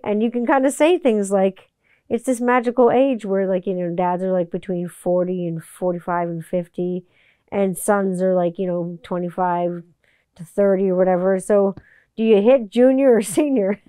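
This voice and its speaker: Forty-something woman ranting on her podcast, swears a lot, smooth clear voice, dry close sound. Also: medium pitch, dry recording. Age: 40s. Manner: blunt, profane, animated. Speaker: podcast host. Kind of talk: casual monologue.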